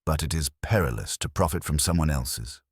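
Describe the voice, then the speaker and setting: A man voicing a lofty, measured old detective. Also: extremely low pitch, expressive, slightly rough, dry recording, studio quality, heavily acted. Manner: slow, formal, pronouncing judgments. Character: stout older male detective, measured and lofty.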